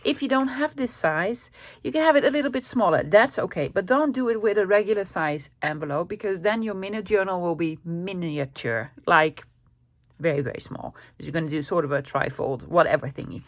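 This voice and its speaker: A woman's voice: fairly low pitch, brisk, dry recording. Chatty Dutch-accented woman, dry close sound. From Netherlands. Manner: chatty and rambling, with many ums and asides. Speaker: crafting channel host. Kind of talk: casual craft tutorial chat. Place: home craft desk.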